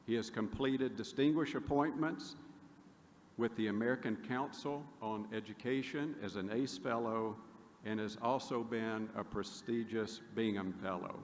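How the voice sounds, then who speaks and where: A man, a university official, formally reading a presentation at a ceremony podium. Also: medium pitch. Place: large hall. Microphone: podium mic. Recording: echoey hall, faint noise.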